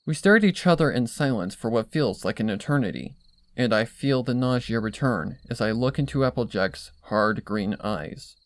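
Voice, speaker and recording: A man's voice: medium pitch, lively intonation, moderate pace, dry recording. A man narrating a dramatic first-person story from a script. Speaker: fan fiction narrator.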